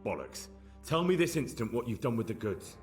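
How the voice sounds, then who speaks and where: An actor playing a bold, blunt young investigator in a video game, direct and challenging. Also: male voice, medium pitch, very animated, fairly quick, a little mellow, soft background music, natural voice. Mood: menacing.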